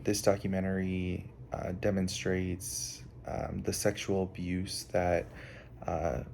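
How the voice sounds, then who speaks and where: A young man giving a prepared analysis as a student presenter, halting often with filled pauses. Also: deep voice, creaky, a little mellow, a little hiss or hum. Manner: halting, hesitant delivery with frequent filled pauses.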